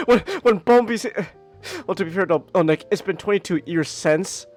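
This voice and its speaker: A man co-hosting a casual podcast, excitable and stammering, laughing often and breaking into squeaky character voices. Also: normal speed, faint music behind. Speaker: podcast co-host. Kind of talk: casual chat.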